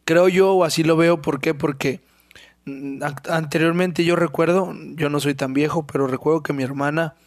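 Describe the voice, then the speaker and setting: A young Mexican man rambles through a solo podcast with a sore, hoarse throat, clearing it again and again. His voice sits a little high for a man, and he curses casually. The sound is dry and close, with no room around it. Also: fairly even intonation, moderate pace, dry recording. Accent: Mexican Spanish. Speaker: podcast host.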